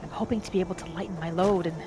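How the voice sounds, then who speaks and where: A woman playing a gentle, homesick wood elf wanderer who thinks aloud softly in a first-person video game roleplay. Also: lowish voice, steady tone, racing, background noise, close to an everyday voice. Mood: musing, curious. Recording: music and rain behind the voice. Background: game music and rain.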